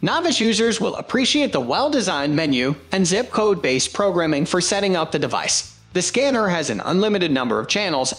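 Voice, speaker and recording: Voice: medium pitch, fairly bright tone. A narrator reading a scripted product review in a presentational style.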